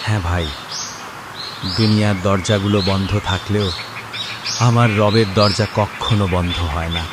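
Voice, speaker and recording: A man's voice: fairly low pitch, fairly even intonation, measured pace, long pauses, close to an everyday voice. A man playing a poor, devout village father in a cartoon moral story, pleading and despairing. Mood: resigned faith. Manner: plaintive, pleading, prayerful. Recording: noisy track with birdsong and nature ambience.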